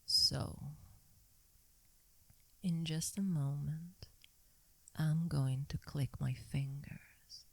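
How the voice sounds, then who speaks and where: A woman playing a seductive, dominant vampire mistress in an ASMR hypnosis roleplay, whispering slowly and breathily with a teasing, coaxing, commanding manner. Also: sits low, steady tone, gravelly, a bit bright, breathy edge, no echo, heavily acted. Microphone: close microphone in a dry space.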